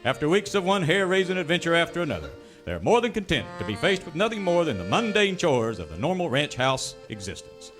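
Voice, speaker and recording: Grandiose cowboy-serial announcer over music. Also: male voice, a little high, very animated, brisk, a bit bright. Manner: grandiose, dramatic. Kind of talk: scripted mock-melodramatic radio narration. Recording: music behind the narration.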